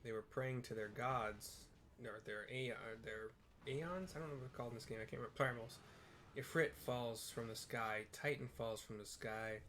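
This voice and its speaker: A man's voice: medium pitch, moderate pace, fairly bright tone, slight background noise. A man narrating video game cutscenes in an informal, improvised, colloquial way, as audio description.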